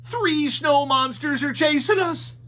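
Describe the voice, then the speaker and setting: A man voicing a goofy, cowardly young slacker from a cartoon, in a strained nasal voice with an excitable drawl. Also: very high-pitched, takes it slowly, voice pushed up, full character voice. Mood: panicked. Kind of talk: cartoon character voice in story reading.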